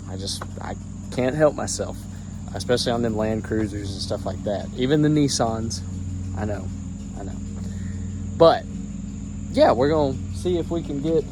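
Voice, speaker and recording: A man chatting casually through a DIY truck job outdoors, talking in a rambling, folksy way and restarting his phrases as he works, recorded on a handheld camera. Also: medium pitch. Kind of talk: casual how-to vlog. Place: outdoors beside a truck, crickets around. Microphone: handheld camera, no tripod. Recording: noisy outdoor recording with crickets.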